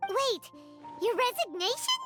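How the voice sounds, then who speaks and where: A woman voicing a small, childlike, excitable fairy companion in a video game, squeaky and candid. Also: measured pace, music in the background, heavily acted. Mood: surprised. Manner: speaks of herself in third person, exclaiming. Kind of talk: English video game voice acting.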